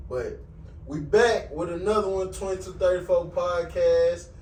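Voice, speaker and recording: Podcast host, man, slow drawl, higher voice, echoey room, far from mic. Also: darkish tone, a little hiss or hum. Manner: laid-back, slangy, profane. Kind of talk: casual podcast chat.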